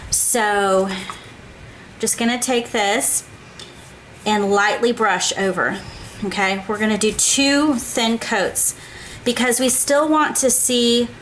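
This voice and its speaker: A woman's voice: mid-range voice, a bit bright, slight background noise, rough recording. Chatty woman hosting a craft tutorial. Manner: chatty, step-by-step, frequent "okay?" check-ins. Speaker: craft show host.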